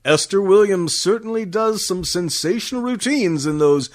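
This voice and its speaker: Guy doing 1950s radio host, high animated voice, super agreeable promo chat. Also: moderate pace. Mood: agreeable, admiring. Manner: polished, agreeable. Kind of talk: scripted vintage radio banter.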